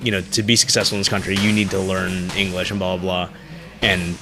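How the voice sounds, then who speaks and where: A man's voice: low-pitched, flat delivery, brisk, music in the background. A man from the United States co-hosting a podcast, chatting casually and asking curious questions with many fillers. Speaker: podcast co-host. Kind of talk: casual chat.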